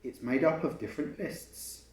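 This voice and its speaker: A man voicing a confident, helpful older sister in an expressive picture-book reading. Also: mid-range voice, moderate pace, a little hiss or hum, close to an everyday voice. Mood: proud, approving. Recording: roomy, echoing home recording.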